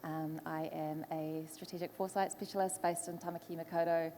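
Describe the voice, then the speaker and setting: A woman's voice: low-pitched, flat delivery, fairly quick, dark tone. A woman with a New Zealand accent, speaking as a moderator introducing a live panel. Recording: echoey hall, faint background noise.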